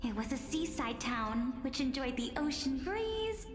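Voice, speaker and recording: An actress playing a playful young woman who tells dark legends in a theatrical, expressive manner for a video game. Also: high-pitched, measured pace. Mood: wistful, dreamy. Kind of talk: video game voice acting, dramatic tale narration. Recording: roomy reverberant sound with music behind.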